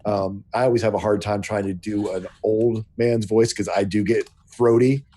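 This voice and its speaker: A man player with a deep voice, chatting casually with thoughtful, hesitant remarks about voicing characters. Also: steady tone, normal speed, mellow. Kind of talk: casual chat giving tips.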